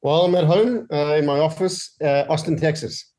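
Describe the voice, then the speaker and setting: A man's voice: a little high, normal speed. Man with a mixed South African-Australian accent. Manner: relaxed, many fillers and restarts. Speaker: guest entrepreneur. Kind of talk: casual interview chat. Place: home office.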